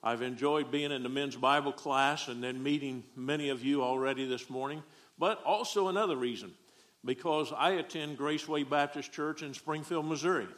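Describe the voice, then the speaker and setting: A man's voice: medium pitch, moderate pace, fairly bright tone. A middle-aged man from Texas with a Southern accent, preaching a folksy, joking sermon as a guest preacher.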